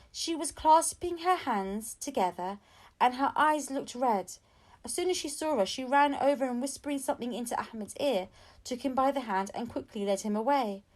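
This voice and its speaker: A woman reading a children's story aloud as an audiobook narrator. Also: sits high. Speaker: audiobook reader.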